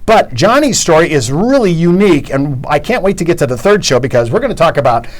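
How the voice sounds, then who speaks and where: High, lively enthusiastic male host. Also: fast. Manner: enthusiastic, rambling, repeats words.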